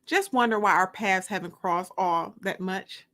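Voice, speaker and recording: Woman voicing a slow, smooth, flirtatious man. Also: medium pitch, a bit bright, lightly acted. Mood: smooth, flirting. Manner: bold, teasing. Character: confident, flirtatious bald man, a smooth coworker.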